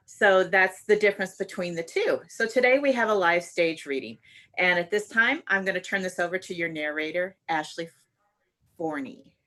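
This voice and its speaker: A woman with a bright, forward voice of ordinary pitch hosts a live theater stream. She addresses the audience directly and explains the format in an unscripted introduction. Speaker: theater company host introducing a show. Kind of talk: live introduction to an online staged reading.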